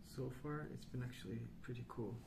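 A man speaking softly, at times near a whisper, in an unhurried, musing ASMR ramble while colouring. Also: mid-range voice, creaky, background noise. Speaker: host of a colouring ASMR channel. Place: indoors at a wooden table.

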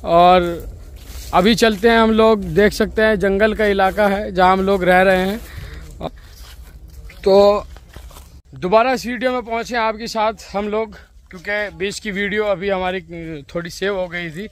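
A man's voice: high voice, steady tone, a little slow. A young man, chatting upbeat to viewers while walking around outdoors with a phone camera. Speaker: vlogger interviewing scout trainees. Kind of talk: walking vlog narration and interviews. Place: outdoors in rural fields and woods. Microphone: phone camera held at arm's length.

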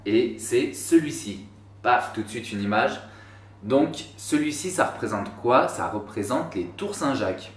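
A man in his twenties chatting casually to camera in a slangy, rambling way, with self-mocking asides, recorded indoors in a small room. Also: medium pitch. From France. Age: 20s. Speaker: YouTuber. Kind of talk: casual vlog talk to camera. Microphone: camera a few feet away. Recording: roomy, slightly distant sound.

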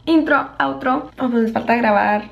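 A young woman talks in a high, bubbly voice. She sounds a little hoarse and giggles between lines. She is recorded on a handheld camera at arm's length in a small apartment. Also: moderate pace, muffled recording. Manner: giggly, chatty. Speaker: vlogger. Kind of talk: casual vlog chat.

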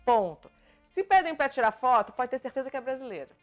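A Brazilian woman in her thirties with a Carioca accent mixed with European Portuguese touches, chatting casually to the camera from her sofa as a vlogger, lively and gesturing as she talks. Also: high-pitched, quick pace, highs cut off, faint music behind. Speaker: vlogger answering viewer questions. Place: bright living room, seated on a sofa.

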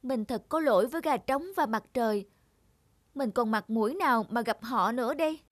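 A woman voicing an ashamed, timid, regretful moon in an animated fairy-tale monologue. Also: on the high side, normal speed, dry recording, clean studio sound, close to an everyday voice. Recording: loud music behind the voice.

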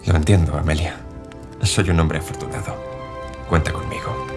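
A devoted, worrying husband in a 1930s-set audio drama. His delivery is earnest and solicitous, under loud music. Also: very deep voice, fairly even intonation, moderate pace. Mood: tender. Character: devoted, anxious husband and flight promoter, 1930s. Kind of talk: scripted audio drama acting.

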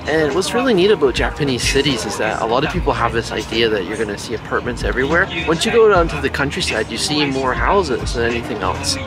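Upbeat young man on a train. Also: mid-range voice. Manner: relaxed, upbeat, enthusiastic recommending. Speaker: travel vlogger. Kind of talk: casual travel vlog talk. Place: inside a moving high-speed train. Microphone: camera at arm's length. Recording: background music over the voice.

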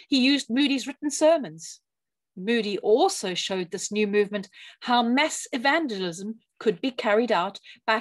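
A woman reading a written religious history aloud with emphatic, deliberate phrasing. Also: fairly high pitch. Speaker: reader of a written church history talk.

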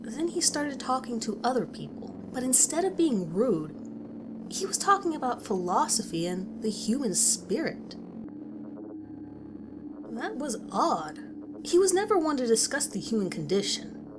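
A woman's voice: fairly high pitch, big pitch swings, unhurried. A woman narrating a scripted horror story as a concerned, unsettled teacher. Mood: uneasy, reflective. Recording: clearly audible background ambience noise.

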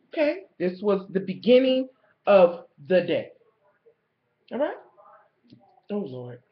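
Raspy young woman vlogger fighting a cold, loud and foul-mouthed, talking straight to viewers over a muffled webcam in a roomy space. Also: deep voice. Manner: loud, blunt and swearing, talking to viewers directly. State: coming down with a cold. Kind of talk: profane ranting storytelling. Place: small room at home, indoors. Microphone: webcam in front of the face.

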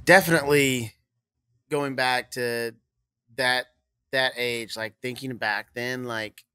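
Bright-voiced young man, easygoing guest. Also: mid-range voice, steady tone, takes it slowly, clear, resonant tone, no room sound. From Kansas. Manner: easygoing, laughs often, trails off with "you know". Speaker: songwriter and producer guest. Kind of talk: casual interview chat. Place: studio set with brick wall and couch. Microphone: studio mic on boom arm.

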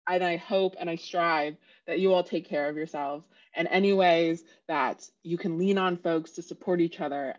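Encouraging young woman on a call. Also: fairly low pitch. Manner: earnest, encouraging, laughs between points. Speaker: panelist, civic engagement organizer. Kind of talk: panel discussion over video call. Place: indoor room on video call. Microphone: headset. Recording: slightly dull video call audio.

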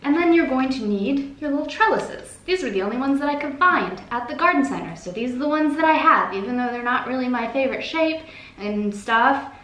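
A woman's voice: high-pitched, lively intonation, a little fast, a bit bright. Chatty young woman, echoey plant room. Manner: chatty, playful, self-mocking asides. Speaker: plant vlogger, host. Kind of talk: casual chat with how-to tips. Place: plant-filled living room, indoors. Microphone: camera at a distance, seated at a table. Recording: roomy echo.

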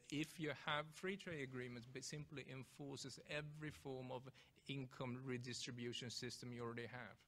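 A man's voice: mid-range voice, a bit bright. A man from Sweden with a Swedish accent, speaking as a panelist in a discussion and making his case analytically from a table microphone.

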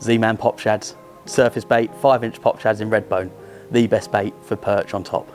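A man answering interview questions as an angler. He talks in a brisk, chatty way on a lapel mic indoors. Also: fairly low pitch, fairly even intonation, darkish tone, faint music behind. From Lincolnshire, England. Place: indoor room.

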